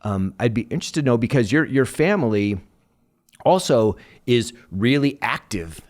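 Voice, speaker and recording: A man's voice: mid-range voice, expressive, moderate pace. A man hosting a podcast interview, enthusiastically praising his guest and asking long, winding questions. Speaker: podcast host.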